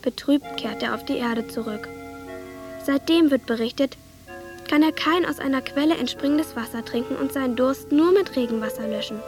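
Radio play lead: caring young village girl, high pitch, patient and coaxing, music behind her. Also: normal speed. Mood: storytelling. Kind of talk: German radio drama acting. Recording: studio radio drama with music.

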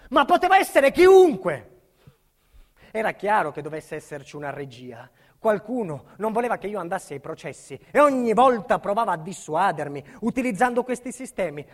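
Casting: an indignant, fearful man testifying against crime, high-pitched, intense dramatic stage delivery. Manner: intense, swinging from hushed to agitated. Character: frightened, indignant male protected witness recounting his ordeal. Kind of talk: dramatic theatrical monologue.

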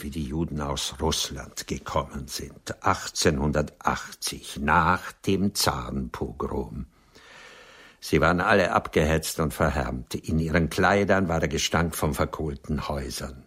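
Very deep, husky, wounded gentleman. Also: unhurried, full character voice. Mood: somber, haunted. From Austria. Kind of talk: German radio play acting.

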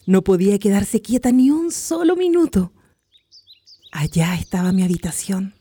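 An actress playing a nostalgic, grieving wife in a radio drama, with a wistful, emotional delivery. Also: female voice, medium pitch, big pitch swings, moderate pace, mellow, breathy edge, dry recording. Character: grieving, nostalgic wife clinging to her late parents' house. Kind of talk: Spanish-language radio drama acting. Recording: clicky recording with faint noise.

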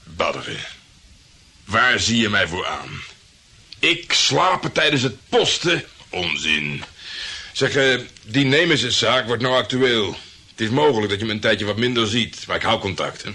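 Cocky detective, lively and bright. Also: male voice, on the low side, unhurried, husky, heavily acted. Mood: boastful, teasing. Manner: boastful, jokey, colloquial. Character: cocky flirtatious male private detective, self-assured and slangy. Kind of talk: radio drama acting.

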